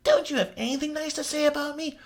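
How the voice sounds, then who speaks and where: A man voicing a greasy, self-pitying male captor who whines and pleads in a high, tearful voice. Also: fairly even intonation, quick pace. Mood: whiny.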